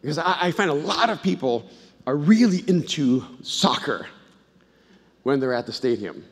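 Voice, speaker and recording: A middle-aged man from El Salvador preaching a sermon, swinging between loud emphatic bursts and low, intimate asides, and telling stories with frequent restarts. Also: big pitch swings, moderate pace, breathy edge. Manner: conversational storytelling with repeated restarts. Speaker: pastor. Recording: long hall reverb.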